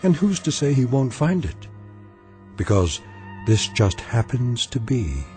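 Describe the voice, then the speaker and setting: An actor as a grave, wry, all-knowing narrator, giving measured, portentous dramatic narration of eerie radio tales. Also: male voice, mid-range voice, big pitch swings, over music.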